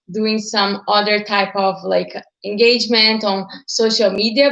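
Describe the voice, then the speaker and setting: A woman's voice: mid-range voice, a bit bright, roomy sound, lo-fi sound. A woman in her twenties from Brazil, a native Portuguese speaker, talking casually to livestream viewers, with many fillers like "like" and "uh". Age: 20s. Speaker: channel host. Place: at home.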